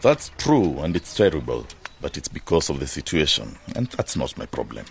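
A man's voice: a bass voice, sing-song, moderate pace, vocal fry, slight background noise, exaggerated voice. An actor playing a proud, hard-headed farmer and businessman in a radio drama, self-assured and matter-of-fact. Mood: dismissive.

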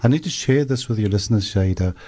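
Low mellow man telling a story. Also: fast.

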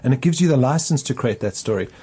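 A man's voice: medium pitch, expressive, quick pace, dark tone, husky. A man co-hosting a podcast panel chat, earnest and agreeable. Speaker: podcast co-host.

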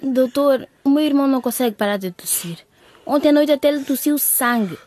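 A woman's voice: high voice, a little fast, a little mellow, no room sound, natural voice. A woman acting as a caring, outspoken young daughter in a radio drama, earnest and insistent. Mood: worried.

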